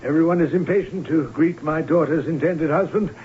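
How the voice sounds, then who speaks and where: A man's voice: a little high, a little fast, darkish tone, lightly acted. An actor playing a hearty, hospitable middle-aged baron and father, effusive and courtly, in an old radio drama. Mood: hearty, welcoming. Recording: dull, hissy vintage radio recording.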